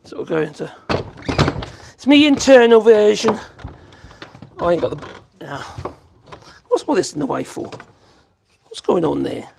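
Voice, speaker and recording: A man's voice: high voice, sing-song, unhurried, creaky, pauses often, heavy background noise. A man, hosting a casual woodworking livestream. He rambles in a chatty way and coos to his puppy in a sing-song voice. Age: 50s.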